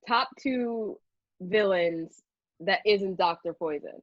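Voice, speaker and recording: A woman's voice: medium pitch, slow pace, no room sound, highs cut off. A woman interviewer chatting casually, with a playful, giggly manner.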